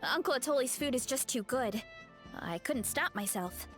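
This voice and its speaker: A woman's voice: high-pitched, lively intonation, moderate pace, faint music behind. A woman playing a modest, friendly young woman who is a champion big eater, in a game cutscene.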